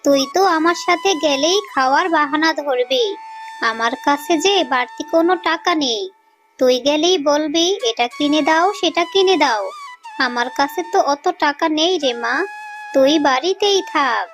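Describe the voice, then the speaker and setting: A woman voicing a poor, gentle, weary fox mother in a cartoon, earnest and softly chiding. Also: high-pitched, moderate pace, faint music behind. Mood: worried, chiding. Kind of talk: Bengali cartoon voice acting.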